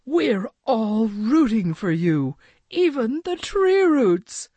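A woman's voice: on the high side, sing-song, slow pace, dark tone, breathy edge, no room sound, highs cut off, voice pushed up, heavily acted. Woman as a grand, polite pond-creature announcer. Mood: encouraging. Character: Maxwell, polite, showy male pond host. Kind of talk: children's storybook character voice.

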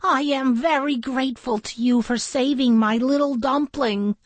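A woman's voice: high-pitched, measured pace, no echo, voice pushed up. A woman voicing an emotional, tender mother duck in a children's story. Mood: grateful.

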